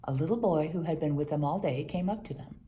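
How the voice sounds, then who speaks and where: A woman reading a children's Bible story aloud in an expressive storytelling manner. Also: sits low, quick pace. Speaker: storybook reader.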